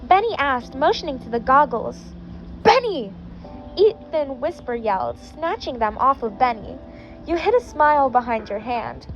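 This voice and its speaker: High-voiced woman reading a fanfic. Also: expressive, normal speed. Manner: reads all parts in her own voice. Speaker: fanfic reader. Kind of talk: reading a fanfic story aloud. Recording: slightly muffled, faint music behind.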